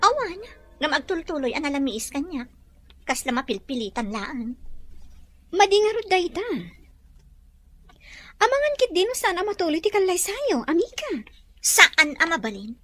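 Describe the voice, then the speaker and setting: An actress plays a scheming woman friend in a radio drama. Her voice is extremely high and swings in melody as she coaxes and persuades like a conspirator. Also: very animated, normal speed, pauses often, sharp tone, no room sound, faint music behind, full character voice. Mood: coaxing. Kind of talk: Filipino radio drama dialogue.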